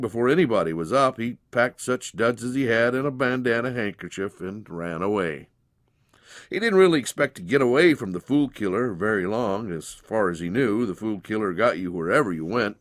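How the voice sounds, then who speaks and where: A man's voice: medium pitch, no room sound, studio quality. A man narrating a dramatized story in a folksy, conversational way. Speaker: narrator, audiobook reader.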